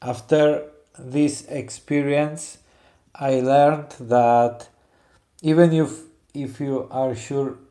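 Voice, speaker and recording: Slow-talking man with a Polish accent. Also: mid-range voice, fairly even intonation, dark tone. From Poland. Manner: halting, searching for English words. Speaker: woodcarver. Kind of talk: casual chat while carving.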